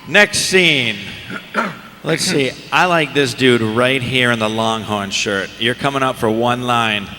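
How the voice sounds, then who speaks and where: A man's voice: medium pitch, takes it slowly, pushed and bright. A man casually hosting a live panel as moderator over a hall PA. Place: large convention hall stage. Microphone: stage microphone. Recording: echoey convention hall PA, faint noise.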